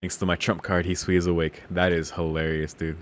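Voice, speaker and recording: A man's voice: deep voice, level tone, a little fast. A man reading a visual novel aloud in a casual, slangy, amused way, swearing and reacting off the cuff. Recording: music behind the voice.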